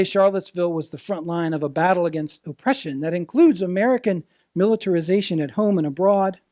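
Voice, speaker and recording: A man with a high-pitched voice preaches in a close, dry sound. His delivery is earnest and deliberate, shaped as a sermon with rhetorical questions and dramatic phrasing. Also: warm tone, dry recording. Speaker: preacher, ministerial intern. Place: church service, speaking to a congregation.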